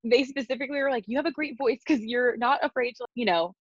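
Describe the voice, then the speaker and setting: A woman co-hosts a podcast from her bed in a deep voice. She jokes at her own expense, laughs often and wanders off on tangents. The video call audio is dull and lo-fi, with a dry, close sound. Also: fast talker, no room sound. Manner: playful, laughing, self-deprecating, tangent-prone. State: worn out after crying. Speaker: podcast co-host. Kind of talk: casual chat over video call.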